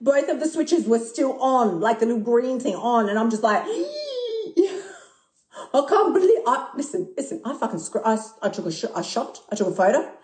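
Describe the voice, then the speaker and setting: A woman in her fifties who talks excitably, swears a lot and laughs often during a casual live stream. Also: fairly high pitch, moderate pace. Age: 50s. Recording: echoey room.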